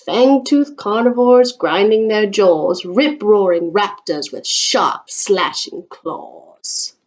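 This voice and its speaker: A woman's voice: medium pitch, takes it slowly, close to an everyday voice. A woman voicing a flamboyant, proud male dinosaur store owner in a picture book, with a theatrical, welcoming manner. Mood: showy patter.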